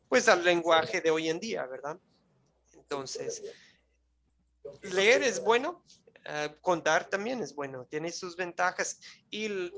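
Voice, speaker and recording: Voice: mid-range voice, fairly bright tone. A Bible course teacher giving a live online class in Spanish, which is not their first language, talking conversationally, often checking in with the listeners and restarting phrases while searching for words. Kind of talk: live online class lecture, closing with a prayer.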